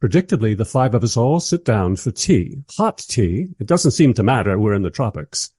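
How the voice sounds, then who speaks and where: Husky, wry male traveller, played by an actor. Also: medium pitch, sing-song, moderate pace, dark tone. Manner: wry, polite. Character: wry male traveller narrating his awkward visit. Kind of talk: first-person radio play narration and dialogue.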